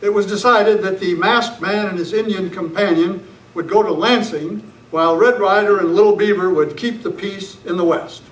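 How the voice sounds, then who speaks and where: Guy doing old timey radio announcer for kids, high voice, upbeat, echoey meeting room. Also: fairly even intonation, normal speed, natural voice. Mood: storytelling. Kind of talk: 1940s children's radio serial narration and sponsor pitch. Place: club meeting room, far camera. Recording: echoey, distant camera sound, faint noise.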